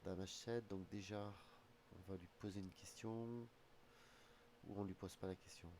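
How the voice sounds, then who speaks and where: A man's voice: low voice, fairly even intonation, unhurried, darkish tone, a little hiss or hum. A man with a slight head cold who streams his chess games, muttering his calculations aloud in half-finished phrases. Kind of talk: thinking aloud while playing online chess. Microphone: streaming desk mic.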